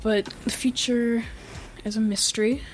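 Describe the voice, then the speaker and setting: A teenage boy chats on his home podcast, his voice scratchy and rough as if he has just woken up. He speaks haltingly, filling pauses with "uh" and "like" and often clearing his throat. A faint background hiss sits under his voice. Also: high-pitched, little pitch movement. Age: teens. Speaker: podcast co-host. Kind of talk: casual podcast chat. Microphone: home podcast microphone with mixer.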